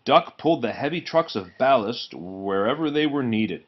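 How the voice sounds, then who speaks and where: Guy reading a kids book out loud, really animated, mid pitch, slightly muffled recording. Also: normal speed. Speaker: storybook reader. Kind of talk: expressive children's read-aloud narration.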